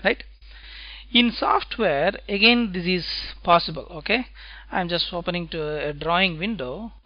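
A man teaching software testing in a screencast lecture, talking step by step and checking in with "right?" after his points. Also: high-pitched, dry recording, lo-fi sound. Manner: slow, deliberate explaining, tag questions "right?".

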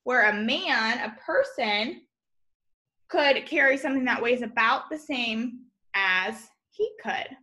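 A woman's voice: a little high, lively intonation, slow pace, bright, forceful tone. A young woman teacher reading a children's nonfiction picture book aloud, expressively, adding informal explanations and exclamations for young listeners. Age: young adult. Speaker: elementary school teacher. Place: room at home, indoors.

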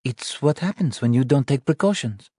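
Male narrator voicing upset young wife; raised pitch, pleading, soft mellow tone, dry read. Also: lively intonation, moderate pace, husky, no echo. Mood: tense. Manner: pleading, emotional delivery. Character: anxious tearful wife, adult woman.

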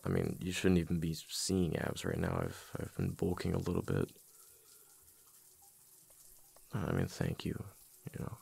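A deep-voiced husband, acted by a man for a boyfriend ASMR roleplay, with heavy vocal fry and a husky rasp. He talks casually and haltingly, repeating words as he mutters. His manner is fond but playfully exasperated. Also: big pitch swings, a little mellow, exaggerated voice. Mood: teasing, affectionate.

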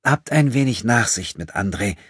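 A man voicing a conciliatory woman in an audiobook, gentle in manner yet firm. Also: fairly low pitch, expressive, moderate pace, husky, dry recording, clean studio sound, voice pushed up. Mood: soothing. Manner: soft but firm, conciliatory. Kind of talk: audiobook character acting.

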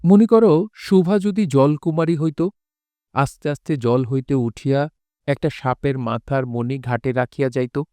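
A man reading a scripted literary story as narrator, in measured, formal diction. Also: medium pitch, mellow, no echo. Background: faint music.

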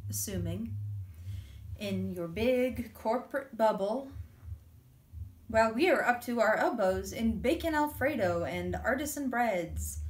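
A woman performing a stage monologue as a frustrated, defiant low-paid sandwich shop worker, blunt, casual and sweary. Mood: indignant, defiant. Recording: roomy, distant mic, faint noise.

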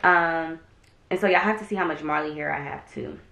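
A young woman vlogging at home, chatting casually to a camera a few feet away, relaxed, with lots of "um" and "like". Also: sits low, a little fast, gravelly. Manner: chatty and relaxed, many "um" and "like" fillers. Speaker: lifestyle vlogger. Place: bedroom or dressing room at home, indoors. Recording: roomy echo of a bare room.

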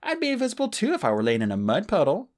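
An easygoing young male dragon with a teasing streak, voiced by a man. He sounds pitched up from the reader's own voice, rising and falling a lot. A storybook read aloud. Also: huge pitch swings, rapid-fire.

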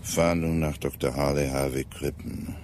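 An actor playing an authoritative, deliberate ship's captain in a German radio drama, with hesitant pauses. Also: male voice, rumbling low, fairly even intonation, noisy background. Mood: brisk. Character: gruff, measured male British ship's captain.

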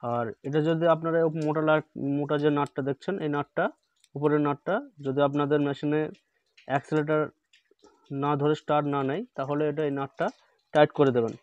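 A man talks through a repair, repeating each instruction step by step. His voice sits a little high and stays level, with little rise and fall. The sound is dry and slightly dull, like a phone recording. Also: little pitch movement, fairly quick, no echo. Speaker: repair channel host. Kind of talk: hands-on repair tutorial. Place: indoor workbench.

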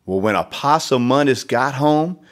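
A man's voice: medium pitch, animated, moderate pace. A man reading a children's storybook expressively, with conversational asides to young listeners. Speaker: read-aloud storyteller.

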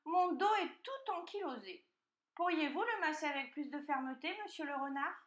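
A woman's voice: high voice, expressive, normal speed, a bit bright, echoey room, pitched above the performer's own voice. A woman voicing a cunning young male piglet in a children's storybook, politely pleading with false innocence. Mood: wheedling.

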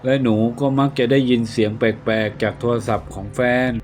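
A man narrating a ghost tale in a steady, measured way. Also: medium pitch. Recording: narration with faint background music. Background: faint music.

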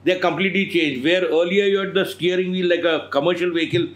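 A man's voice: sits high, steady tone. Opinionated older Indian man. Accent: Indian English. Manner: measured, digressive, opinionated. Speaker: podcast co-host, editor at large. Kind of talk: casual chat. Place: studio with bookshelves and car photos.

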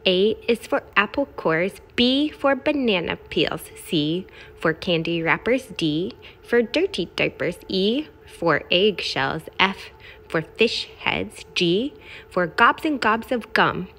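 A woman's voice: mid-range voice, lively intonation, takes it slowly, fairly bright tone, heavily acted. A woman voicing a brash, boastful, gleefully smelly garbage truck in a children's picture book, in punchy, emphatic phrases. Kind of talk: expressive children's picture-book read-aloud.

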